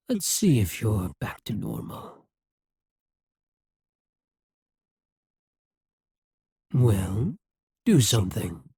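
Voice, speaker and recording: A performer voicing a haughty, wry demon ruler in an ASMR roleplay, speaking softly and huskily in a slow, condescending, possessive way. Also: mid-range voice, no room sound, studio quality, full character voice. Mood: focused, wary. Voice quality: soft, breathy, husky.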